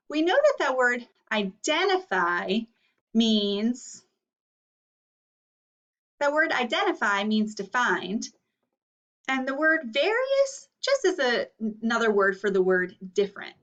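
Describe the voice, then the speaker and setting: A woman's voice: on the high side, big pitch swings, a little slow, fairly bright tone. A woman teaching a read-aloud lesson for children, explaining instructionally and posing guiding questions. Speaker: elementary teacher. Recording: dull, distant microphone.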